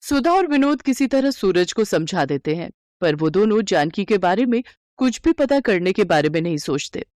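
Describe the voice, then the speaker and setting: Lady storyteller reading a scripted kids tale, mid pitched voice. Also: sing-song, moderate pace, a little mellow, no echo, studio quality. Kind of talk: scripted children's story narration.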